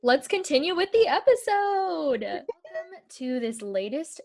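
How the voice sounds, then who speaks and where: A woman's voice: high voice, very animated, a little slow, gravelly, darkish tone. A woman hosting a podcast in casual chat, talkative and full of "um" and "like". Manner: chatty, with "um" and "like" fillers. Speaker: podcast host.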